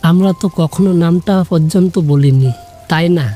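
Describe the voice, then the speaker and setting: A tender young man full of longing, played by an actor in a romantic audio drama. His voice is dark and mellow, gentle and hesitant, with music playing behind it. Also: on the high side, moderate pace, natural voice. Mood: hurt, hesitant. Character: tender, longing young man, nameless coffee-shop companion.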